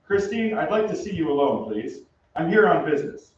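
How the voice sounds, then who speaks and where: A man's voice: sits high, expressive, fairly quick. An actor plays a formal, suspicious police inspector in an old-time radio play. His manner is stiff and dry. The voice is far from the mic on a very echoey stage, muffled, with faint noise. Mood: probing, suspicious. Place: theatre stage.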